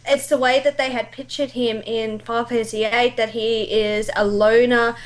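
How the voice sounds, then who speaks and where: A woman with a high voice chats informally as a guest. She is opinionated and puts firm emphasis on her points, in the manner of a devoted fan. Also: level tone, moderate pace. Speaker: guest voice actor. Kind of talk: casual chat, opinionated fan discussion.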